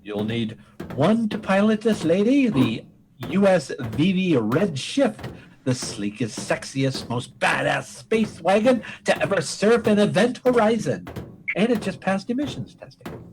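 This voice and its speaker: A man plays a space admiral in a comic radio play, high in pitch and swinging emphatically from word to word. He is folksy, commanding and full of bluster. There is noticeable noise on the recording. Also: sing-song, measured pace. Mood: proud, showy. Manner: brassy, emphatic military bluster. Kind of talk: comic old-time-radio-style acting.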